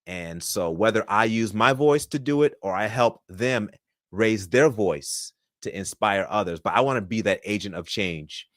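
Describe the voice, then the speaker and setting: Man in his thirties, low voice, dry close mic, upbeat polished podcast guest. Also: lively intonation, normal speed, fairly bright tone, no echo. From Florida, USA. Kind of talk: conversational interview answers.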